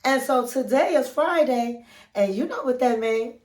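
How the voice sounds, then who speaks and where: Slow-talking nasal woman with a cold. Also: fairly high pitch, animated, a little mellow, roomy sound, a little hiss or hum. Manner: chatty and familiar, calls viewers queens and honey. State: has a cold, sounds nasal. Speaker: relationship coach and channel host. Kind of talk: casual advice vlog chat.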